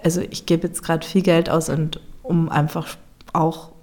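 A woman chef in a casual interview, speaking reflectively and often pausing to search for words. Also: sits low, little pitch movement, a little mellow. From Germany.

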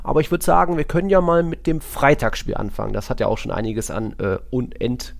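A man co-hosting a football podcast, talking casually in a rambling, colloquial and animated way. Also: medium pitch, brisk, vocal fry. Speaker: podcast host.

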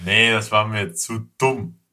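A man's voice: fairly low pitch, slow, sharp tone. A man in casual chat, talking in an emphatic, opinionated, slangy way.

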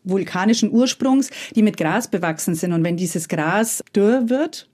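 A woman's voice: mid-range voice, lively intonation, measured pace, darkish tone. A woman from Franconia with a Franconian German accent, a guest chatting and telling stories hesitantly in an interview. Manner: chatty, hesitant, often laughing. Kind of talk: casual conversational storytelling.

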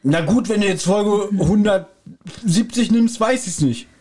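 A man's voice: high voice, a little slow, warm tone. A man co-hosting a podcast in casual chat, rambling with restarts and laughing easily. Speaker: podcast co-host.

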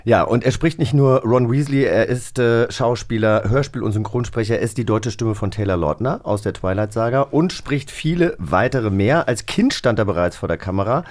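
A man's voice: medium pitch, normal speed, few pauses, darkish tone. A man co-hosting a podcast and interviewing in a casual, chatty style.

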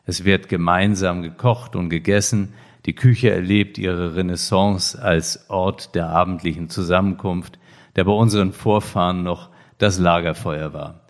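Deep-voiced man hosting a podcast. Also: fairly even intonation. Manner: measured, formal delivery. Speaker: podcast host, hospital director. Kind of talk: scripted podcast intro, then interview.

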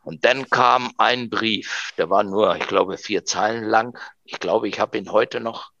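Gravelly middle-aged man. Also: on the low side, measured pace, bright, forceful tone, no echo, muffled recording. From Argentina. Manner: reflective, rambling with many fillers. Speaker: researcher guest. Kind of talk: podcast storytelling.

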